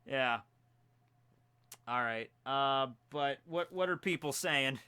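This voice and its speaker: Bright clear-voiced young man streaming. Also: medium pitch, slow pace. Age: 20s. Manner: chatty, self-narrating while fixing stream problems. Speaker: stream host. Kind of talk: casual live-stream chat. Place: home room.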